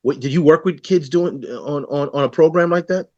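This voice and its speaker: A friendly man hosts a community interview, asking loose, rambling questions in a casual way. The recording is dry and a little dull, with no room sound. His pitch is ordinary for a man. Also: normal speed, a little mellow, dry recording. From the Bronx, New York City. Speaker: newspaper publisher and host.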